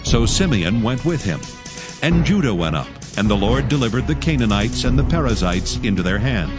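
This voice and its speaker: A man serves as narrator of a dramatized scripture reading. His low voice is solemn yet richly varied in pitch, and music plays clearly underneath.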